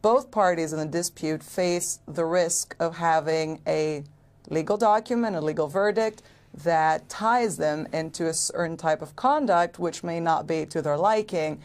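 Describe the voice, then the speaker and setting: Woman law lecturer on a tv panel, low voice, careful and precise, lots of ums. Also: a little mellow. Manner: measured, precise legal phrasing with frequent ums. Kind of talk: expert explanation on a TV panel.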